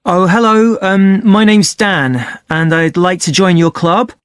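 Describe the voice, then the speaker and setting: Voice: medium pitch, fairly even intonation, unhurried, smooth, no room sound, studio quality, close to an everyday voice. An actor playing a polite young amateur photographer, explaining things a little hesitantly in a scripted listening-test dialogue.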